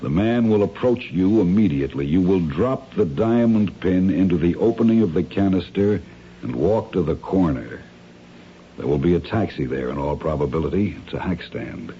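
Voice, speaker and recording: A smooth, calculating villain with a very dark, low voice. He speaks in a measured, formal, condescending way with cool menace, as in a radio mystery. The recording is dull and hissy. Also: animated. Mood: calmly instructing. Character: smooth, calculating male crime schemer, coolly menacing. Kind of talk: radio mystery drama acting.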